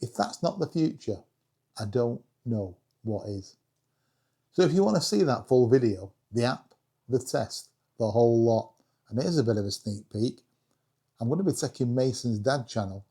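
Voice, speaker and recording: A British man with a husky voice giving a casual, chatty hobby review with self-deprecating humour. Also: medium pitch, warm tone. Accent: British English. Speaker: video creator reviewing radios.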